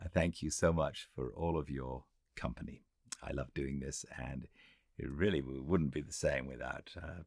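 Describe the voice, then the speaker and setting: Very deep-voiced British man, creaky. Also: a bit bright, no echo, studio quality. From Britain. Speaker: audiobook narrator. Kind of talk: literary narration and informal sign-off.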